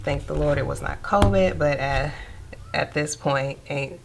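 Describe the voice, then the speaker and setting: A woman's voice: deep voice, unhurried, vocal fry, background noise. Stuffy-nosed woman counting cash. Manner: counts money aloud in a steady murmur. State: stuffy from a sinus cold. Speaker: budgeting vlogger. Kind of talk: casual talk while counting cash.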